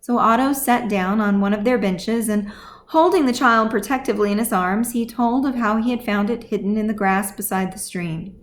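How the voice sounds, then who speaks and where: Woman, audiobook narrator, fantasy storytelling, medium pitch, scripted reading. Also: moderate pace. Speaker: author reading their own audiobook.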